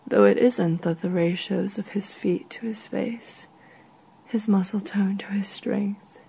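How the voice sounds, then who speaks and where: A woman reading a literary short story in a soft, measured way, with a husky, breathy voice. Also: mid-range voice, low-quality recording.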